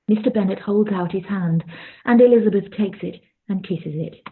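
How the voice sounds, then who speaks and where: A woman with a husky voice reading scripted narration for a radio play. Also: mid-range voice, moderate pace. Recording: dull, lo-fi sound.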